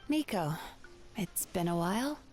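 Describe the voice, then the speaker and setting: A woman voicing a gentle, composed young therapist in a video game, speaking politely and soothingly. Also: fairly low pitch, animated, a little slow. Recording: faint game music behind.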